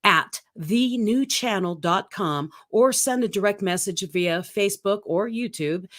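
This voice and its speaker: A woman hosting a live-stream talk show, chatting in an upbeat way and laughing often. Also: lowish voice. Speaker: host. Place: home in Colorado.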